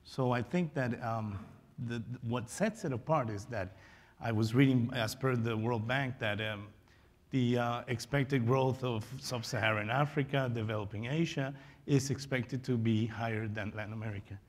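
A middle-aged man on a conference panel, speaking in a measured, hesitant way with many fillers, on a lapel mic. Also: medium pitch, big-room sound. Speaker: nonprofit director, panelist. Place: indoor conference stage with audience.

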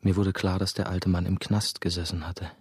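Low, monotone brooding young man. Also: normal speed, husky, no echo, studio quality. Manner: subdued, introspective. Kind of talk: scripted radio play narration.

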